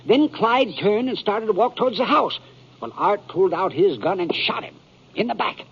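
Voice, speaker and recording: An actor playing an excitable, folksy American posse man in a 1950s radio Western, talking emphatically with a country drawl. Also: sits high, normal speed, husky, exaggerated voice. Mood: indignant, insistent. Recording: dull 1950s radio recording.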